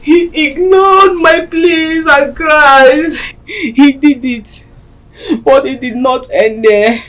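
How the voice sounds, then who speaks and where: An actress playing a grieving widow, narrating slowly and mournfully. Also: female voice, high voice, a bit bright, exaggerated voice. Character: grieving widowed wife recounting her ordeal.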